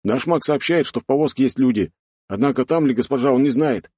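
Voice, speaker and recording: A man's voice: mid-range voice, fairly quick, warm tone, breathy edge, no room sound, clean studio sound, voice pushed up, close to an everyday voice. A man voicing a loyal, obedient knight reporting in a military manner in a fantasy audiobook. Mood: urgent. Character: loyal male knight and soldier, dutiful, obedient.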